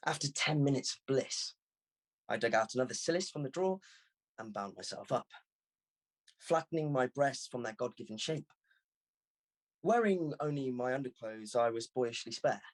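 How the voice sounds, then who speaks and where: Male audiobook reader, mid-range husky voice, expressive first-person literary narration. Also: mid-range voice.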